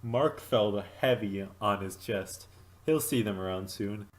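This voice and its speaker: A man's voice: lowish voice, unhurried. A man reading a story aloud as an amateur narrator, in a dramatic storytelling style. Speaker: fanfic reader.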